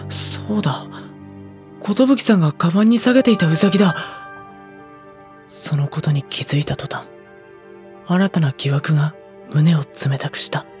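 A young man playing a sensitive, anxious high school boy in dramatized first-person narration. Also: a little mellow, natural voice. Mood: uneasy, shaken. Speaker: narrator and character.

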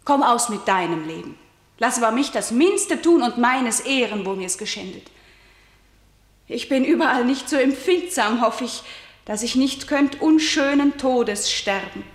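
Casting: defiant grieving tragic sister, higher-pitched, solemn stylized verse, radio drama, echoey room. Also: female voice, expressive, measured pace. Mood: scornful. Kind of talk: German classical tragedy radio play.

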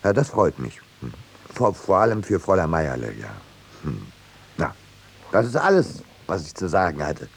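An actor playing an urbane, dryly ironic painter in a radio play, polite, hesitant and deadpan. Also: male voice, low-pitched, normal speed, husky, full character voice. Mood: politely scheming. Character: urbane, dryly ironic male painter telling his tale. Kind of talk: 1950s German radio play acting and narration.

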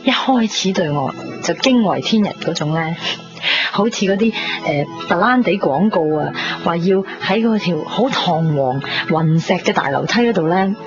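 A woman's voice: fairly low pitch, lively intonation, normal speed, close to an everyday voice. Woman acting a dramatic, bubbly young Hong Kong woman. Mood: excited, teasing. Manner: chatty, dramatic, many exclamations and particles. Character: bubbly, vain young woman fretting over party outfit. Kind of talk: 1990s radio drama acting. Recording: muffled 1990s radio broadcast under music.

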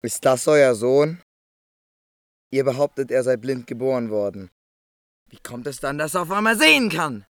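Audio drama Pharisee: stern, demanding interrogator, mid-pitched, very dry recording. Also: male voice, lively intonation, normal speed, long pauses, fairly bright tone, dry recording. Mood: interrogating. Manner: demanding, indignant.

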